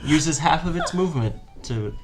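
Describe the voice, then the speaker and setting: A game master narrating and refereeing a tabletop fantasy game, speaking in a measured, hesitant way with pauses. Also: medium pitch, lively intonation, music in the background.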